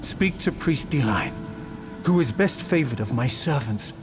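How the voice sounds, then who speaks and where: An actor playing the fading spirit of an ancient saint in a video game, grave, solemn and noble. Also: male voice, mid-range voice, animated, measured pace, over music, lightly acted. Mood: solemn, weary.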